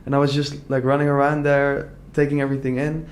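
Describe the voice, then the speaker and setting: A young man from the Netherlands talks through his story in a loose, earnest interview. His voice sits at a middling pitch and he circles back often, stacking "like" and false starts as he thinks aloud. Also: completely flat delivery, fast. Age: young adult. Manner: earnest, rambling, many "like" fillers and restarts. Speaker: entrepreneur guest. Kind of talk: casual conversational interview.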